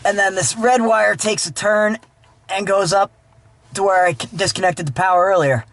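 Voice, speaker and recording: A man's voice: sits high, steady tone, moderate pace, fairly bright tone. A man giving a casual, informal hands-on how-to narration as a DIY car vlogger while he works inside a vehicle. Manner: informal, talking while working with his hands. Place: inside a Jeep, handheld camera.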